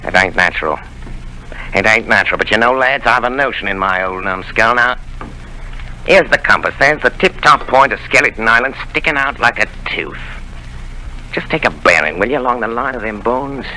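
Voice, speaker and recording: An actor playing a cunning old male pirate leader in a 1930s radio drama, talking rough sailor's slang in a sly, coaxing way. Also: mid-range voice, animated, moderate pace, pushed and bright, a little hiss or hum, rough recording. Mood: scheming.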